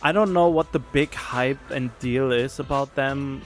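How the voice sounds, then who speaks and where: A man's voice: medium pitch, unhurried, soft background music. A man streaming a game with casual, rambling commentary, thinking aloud as he chats. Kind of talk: casual chatty livestream commentary.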